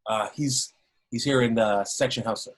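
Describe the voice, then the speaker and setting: A man's voice: medium pitch, normal speed, lightly acted. A man reading a jovial, evasive police sergeant, hesitant with many restarts. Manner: hesitant, lots of ums and restarts.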